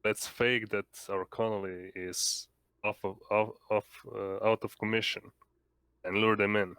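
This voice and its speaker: A man's voice: lowish voice, level tone, a little slow, fairly bright tone, clean tone. A man taking part in a tabletop roleplaying game with casual, in-character chat.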